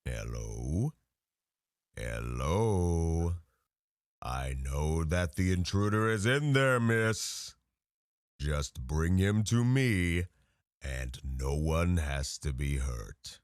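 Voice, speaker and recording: A man playing a pious, menacing vampire hunter in an ASMR roleplay, with formal, taunting, gentlemanly speech. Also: very deep voice, animated, extremely slow, dry recording, studio quality, exaggerated voice. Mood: menacing, calling out.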